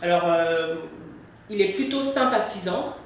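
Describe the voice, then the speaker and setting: Woman, guest, from France, halting speech, distant, echoey. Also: on the low side, lively intonation, a little hiss or hum. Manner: halting, full of fillers. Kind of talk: interview answers.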